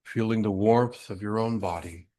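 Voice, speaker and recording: A man with a fairly low voice guides a breathing meditation. He speaks gently and without hurry, giving short instructions and letting long silences sit between them. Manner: gentle, unhurried, with long pauses.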